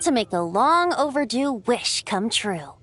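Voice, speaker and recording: A woman's voice: on the high side, very animated, unhurried, a bit bright, faint music behind. A woman voicing a mischievous wandering bard in a video game, breezy and wry.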